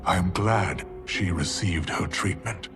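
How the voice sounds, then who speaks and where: An actor playing a scheming, ambitious warlord in a video game, solemn, formal and self-righteous over dramatic music. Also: male voice, low-pitched, unhurried. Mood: grave.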